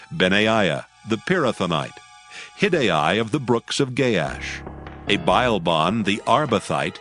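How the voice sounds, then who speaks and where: Low, animated male scripture narrator. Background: music.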